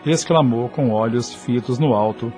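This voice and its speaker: A man's voice: medium pitch, normal speed, mellow, soft background music. A man narrating a radio soap opera from a script in a solemn, literary storytelling manner.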